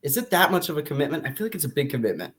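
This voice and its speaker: A man's voice: fairly high pitch, very animated, racing. A man with an American accent co-hosting a podcast, talking informally with a friend. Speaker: podcast co-host. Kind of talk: casual banter between friends.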